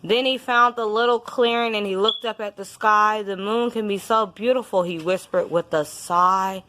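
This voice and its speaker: A woman reading a rhyming picture book aloud to a young child in a deliberate storytelling style. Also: mid-range voice, fairly even intonation, pushed and bright. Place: indoors at home, book laid on a bed.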